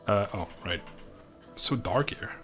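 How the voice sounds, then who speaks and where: A man livestreaming a video game, chatting informally and thinking aloud in a halting, casual way. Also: on the low side, expressive, a bit bright, faint music behind. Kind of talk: casual livestream chat over gameplay.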